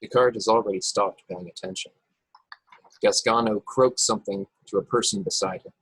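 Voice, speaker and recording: A man's voice: on the low side, fairly even intonation, moderate pace, a bit bright, slightly rough, no room sound, poor audio. A man narrating stage directions from a script at a table read over a video call. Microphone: video call, close and dry.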